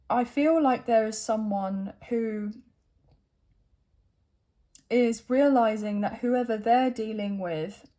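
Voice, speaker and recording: A woman with a croaky throat giving a chatty tarot reading to camera, thinking aloud as she draws cards. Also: medium pitch, warm tone. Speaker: tarot reader.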